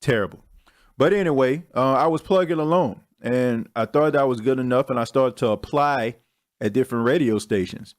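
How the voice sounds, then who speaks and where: A man's voice: mid-range voice, moderate pace. A young man hosting his own podcast, talking in a candid, slangy, self-mocking way as a casual solo monologue. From Texas. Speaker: podcast host.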